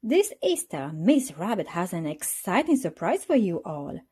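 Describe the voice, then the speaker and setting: A woman's voice: fairly low pitch, very animated, measured pace, mellow, husky, exaggerated voice. A woman voicing a kindly gazelle playgroup teacher in a storybook, encouraging and reassuring.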